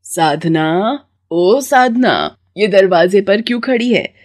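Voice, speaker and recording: A woman performs story dialogue. Her mid-pitched voice swings in a lively, expressive way. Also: takes it slowly.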